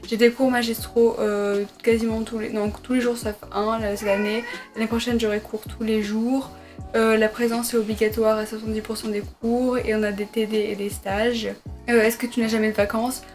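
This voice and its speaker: Young woman, flat voice, hesitant answers. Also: on the high side, normal speed, soft background music. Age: young adult. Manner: hesitant, many fillers and self-corrections. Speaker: medical student vlogger. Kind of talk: casual sit-down Q&A vlog. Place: her bedroom at her parents' home.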